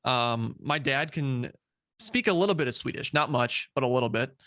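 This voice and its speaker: A young man talking as a guest in a relaxed interview, hesitant and full of fillers and restarts. His voice sits at an everyday pitch, and the sound is dry and close. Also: no room sound. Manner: halting, many fillers and repeats. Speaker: guest, social media creator. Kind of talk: casual interview chat.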